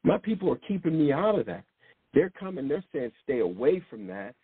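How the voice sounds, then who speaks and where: A man's voice: on the high side, very animated, fairly quick, slightly rough, dry recording. A man preaching informally in a rambling, blunt way, often repeating words and restarting. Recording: tinny phone-like sound, dry.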